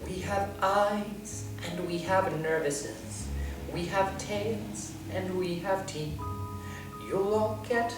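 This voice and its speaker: A man's voice: high-pitched, slow, pitched above the performer's own voice. A man whispering as a chorus of menacing tiny rat voices. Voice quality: whispery, hissing. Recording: roomy, distant, with music.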